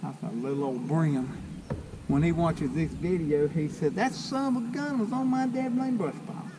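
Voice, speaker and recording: An older man with a Southern US drawl talks folksy and easygoing while he fishes, with a voice on the higher side. He is out on a lake in a small boat, caught by a camera mounted on the boat. Clear outdoor noise sits behind him. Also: moderate pace. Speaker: fishing video host. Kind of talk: casual talk to camera while fishing. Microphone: action camera mounted on the boat.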